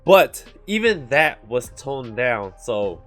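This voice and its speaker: A man giving casual anime commentary, chatty and full of "like" and "you know", who calls his own voice monotone. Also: medium pitch, slow pace, soft background music.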